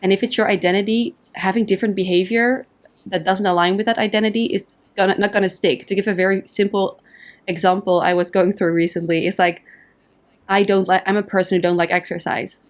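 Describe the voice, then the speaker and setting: A woman's voice: mid-range voice, fairly even intonation, fairly quick. A woman from the Netherlands speaks as a coaching guest on a podcast. She explains ideas patiently and casually. She slips into short acted-out inner voices to make her examples vivid. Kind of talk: casual interview conversation.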